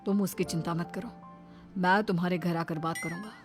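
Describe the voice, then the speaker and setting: A woman's voice: lowish voice, steady tone, a little fast, faint music behind, lightly acted. A woman voicing an earnest, determined young man courting in a cartoon, polite and sincere.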